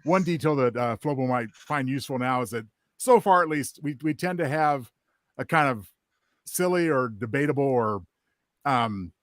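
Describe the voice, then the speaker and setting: A man's voice: medium pitch, expressive, moderate pace, fairly bright tone, dry recording, studio quality. A man chatting casually as a show panelist, picking his words in a hesitant, careful and wordy way. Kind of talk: casual chat.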